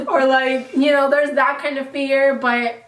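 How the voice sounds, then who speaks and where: Upbeat female channel host, high pitch, recorded at a distance in an echoey room. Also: level tone, unhurried, a bit bright. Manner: upbeat, chatty, playful. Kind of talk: casual chat.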